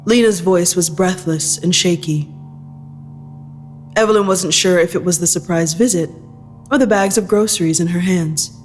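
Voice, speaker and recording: A woman reading scripted dramatic fiction as narrator, in a measured, deliberate manner. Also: fairly low pitch, faint music behind.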